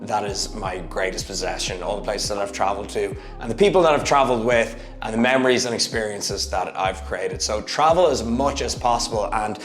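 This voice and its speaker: Energetic man in his thirties. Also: brisk, hardly any pauses. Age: 30s. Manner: energetic, emphatic, swings from loud bursts to low asides. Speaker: fitness YouTuber. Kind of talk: motivational vlog monologue. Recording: echoey room with faint music. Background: faint background music.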